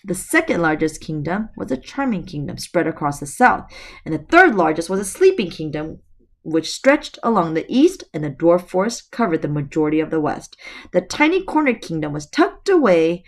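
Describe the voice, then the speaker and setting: A middle-aged woman teacher, slightly hoarse, reading a novel aloud to her students with chatty asides, recorded on a laptop webcam at home. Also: on the low side, sing-song, normal speed. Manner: chatty, addresses students directly. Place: room at home.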